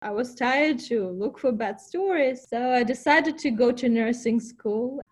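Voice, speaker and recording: A woman's voice: a little high, measured pace, faint music behind. A woman with a Ukrainian accent, a nurse telling her immigration story in an earnest, reflective way with small restarts. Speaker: nurse, interviewee. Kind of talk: personal storytelling interview.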